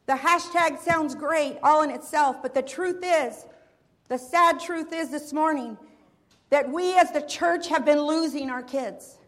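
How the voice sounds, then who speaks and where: A woman with a high voice delivers a church sermon in an earnest, measured way. She leads a prayer that keeps calling on God again and again, then moves into her teaching. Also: fairly bright tone. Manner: earnest, measured preaching with repeated invocations. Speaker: preacher. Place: church sanctuary.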